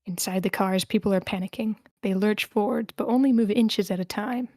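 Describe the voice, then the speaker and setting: A woman's voice: medium pitch, steady tone, a little fast, a little mellow, no room sound, studio quality. A woman reading the stage directions of a screenplay as scripted narration at a table read.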